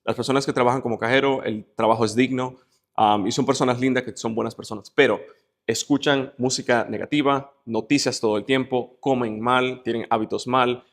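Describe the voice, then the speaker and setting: A man's voice: medium pitch, steady tone, brisk. A man with a Dominican Spanish accent speaking as an interview guest in an emphatic, motivational way, often building points with lists and rhetorical questions. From Dominican Republic.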